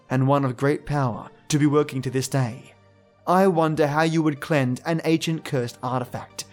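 An Australian man hosting a podcast, giving casual, reflective commentary. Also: on the high side. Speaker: podcast host. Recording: faint music behind voice.

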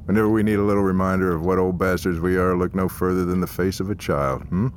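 A man's voice: deep voice, steady tone, fast talker, a little hiss or hum, natural voice. An actor playing an abrasive older male business partner, condescending and profane, in a naturalistic audio drama. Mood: mockingly jovial.

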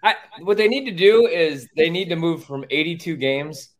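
A man's voice: a little high, expressive, moderate pace. A man co-hosting a casual sports podcast, talking in a blunt, opinionated way and swearing freely.

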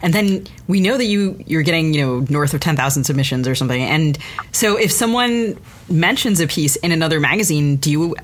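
A woman's voice: low voice, lively intonation, fairly quick. A woman co-hosting a podcast, asking questions in a conversational style. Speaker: podcast co-host. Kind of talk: conversational interviewing.

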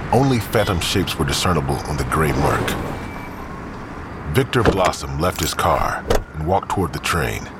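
Deep-voiced man narrating a crime story. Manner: slow, atmospheric storytelling. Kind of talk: scripted third-person narration. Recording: outdoor traffic noise behind.

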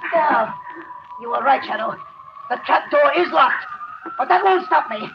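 An actor playing a crazed, vengeful poisoner in a 1930s radio thriller, gloating and ranting. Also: male voice, extremely high pitch, expressive, unhurried, vocal fry, music in the background, full character voice. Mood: gloating menace. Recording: scratchy muffled 1930s radio recording.

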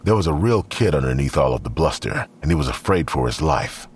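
A man's voice: rumbling low, lively intonation, a little fast, a little hiss or hum. A man narrating a scripted audio drama in the third person as its storyteller.

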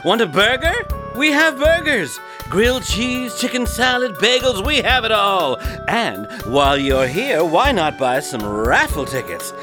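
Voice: medium pitch, sing-song, unhurried, over music. An announcer reading a scripted radio advert for a diner.

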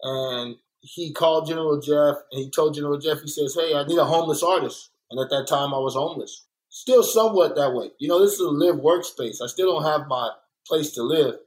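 Guest, young adult man from LA, earnest and reflective, leans a bit high in pitch. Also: fairly quick, hardly any pauses, poor audio. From born in Kansas City, raised in South Central Los Angeles. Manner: earnest, reflective, repeats points for emphasis. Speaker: muralist and guest. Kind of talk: candid conversational interview.

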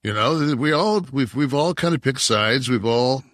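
A man's voice: medium pitch, moderate pace, a bit bright, no echo, clean studio sound. A man in his sixties from Detroit, co-hosting a podcast and talking in a heated, profane, rambling way. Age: 60s. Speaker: podcast co-host. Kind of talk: casual chat.

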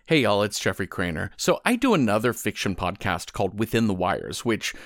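A man's voice: on the low side, sing-song, brisk, fairly bright tone, dry recording, clean studio sound. A man reading a conversational scripted promo for his own podcast.

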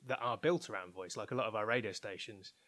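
A man's voice: lowish voice, fast talker, fairly bright tone, no room sound. Thoughtful man, dry close sound. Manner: thoughtful, hedging, often restarts mid-phrase. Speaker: interviewed research and development head. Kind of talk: conversational interview.

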